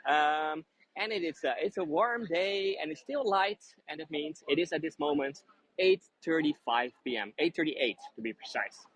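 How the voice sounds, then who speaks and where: A man's voice: on the high side, normal speed, fairly bright tone, a little hiss or hum. A man with a Dutch accent, whose first language is Dutch, guiding a live walking tour outdoors on busy city streets, chatty and friendly with many fillers and restarts. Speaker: tour guide.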